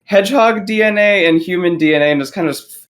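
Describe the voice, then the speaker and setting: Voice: medium pitch, fast talker. A young adult podcast guest chatting casually in a hesitant, filler-heavy way.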